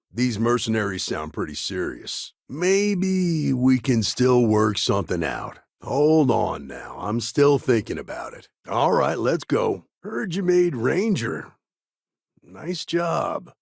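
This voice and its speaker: A man playing a guarded, world-weary frontier lawman in a video game, with a gravelly, husky voice and laconic, folksy phrasing. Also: medium pitch, no echo, studio quality, heavily acted. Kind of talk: game dialogue lines.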